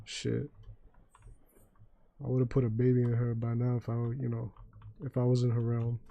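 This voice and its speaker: A young man with a deep voice chatting loosely and profanely while streaming a game and taking chat requests. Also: completely flat delivery, moderate pace, gravelly, mellow, highs cut off. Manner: loose, profane, joking, sings badly on request. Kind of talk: casual gaming livestream chat.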